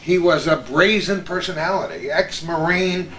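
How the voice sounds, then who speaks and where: A man's voice: on the high side, normal speed, fairly bright tone, roomy sound, recorded from a distance, slight background noise. An American man, middle-aged or older, hosting a conversation and telling stories from memory. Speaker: host. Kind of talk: reminiscing conversational storytelling.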